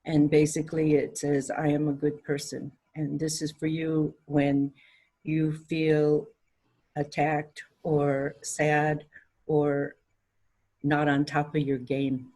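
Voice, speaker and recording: Woman, very slow, halting, soft, heartfelt. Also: sits low, flat delivery. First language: Cantonese. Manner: halting, searching for words, soft. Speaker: ordination participant.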